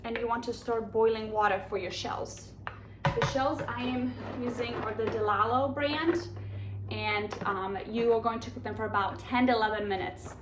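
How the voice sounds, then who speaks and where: A woman with a Polish accent hosts a home cooking demonstration, walking through each step with chatty enthusiasm. Her voice sits a little high. The recording is echoey, with clear background noise from the kitchen around her. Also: fairly even intonation, normal speed. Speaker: cooking show host.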